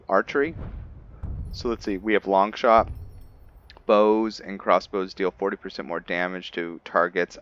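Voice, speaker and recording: Slow, deep-voiced man thinking aloud. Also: fairly bright tone, lo-fi sound. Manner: hesitant, musing, thinking aloud. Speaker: gaming video host, role-playing a character. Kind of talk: unscripted gameplay commentary.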